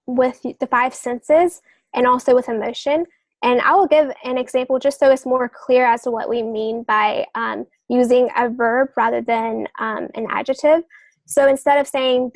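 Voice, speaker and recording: A woman explaining things as a podcast guest, working through points with examples and pausing to rephrase as she goes. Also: fairly high pitch, dry recording. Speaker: podcast guest novelist giving writing advice.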